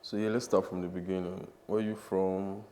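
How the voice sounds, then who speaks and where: A man hosting a casual interview with a lowish voice. He talks in a chatty, upbeat way and repeats himself as he works out his questions. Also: little pitch movement, normal speed, mellow. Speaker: podcast host.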